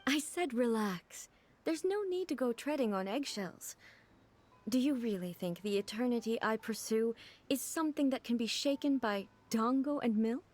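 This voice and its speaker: An actress voicing a composed, formal divine ruler who speaks in a calm, measured way in a game cutscene. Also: female voice, a little high, lightly acted. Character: composed divine woman ruler, regal and curious.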